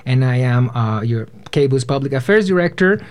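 A man's voice: medium pitch, animated, a little fast. A man in his twenties hosting a live radio panel from a studio, speaking to listeners and guests. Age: 20s. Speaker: radio host, panel moderator.

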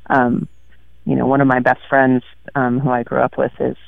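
A man talking about his work in a halting, thoughtful way, with many fillers, as a guest on an interview show, heard over a tinny line. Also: medium pitch, completely flat delivery, creaky, no echo. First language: French. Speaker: guest author. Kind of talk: casual interview chat. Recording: tinny phone-like radio line.

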